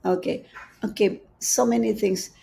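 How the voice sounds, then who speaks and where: A woman with a Filipino accent giving a gentle, repetitive spiritual teaching on a livestream, reading out viewer comments. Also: medium pitch, steady tone, measured pace, gravelly, slightly rough. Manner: gentle, repeats phrases, reads viewer comments aloud. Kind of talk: live-streamed spiritual teaching. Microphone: livestream setup.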